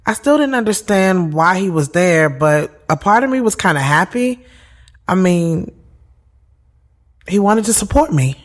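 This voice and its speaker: A woman's voice: fairly low pitch, expressive, measured pace, pauses often. A woman playing a heartfelt, lovelorn thirty-year-old who narrates her own story in a conversational, confiding way for an audio drama. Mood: touched, conflicted. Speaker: lead actress and narrator.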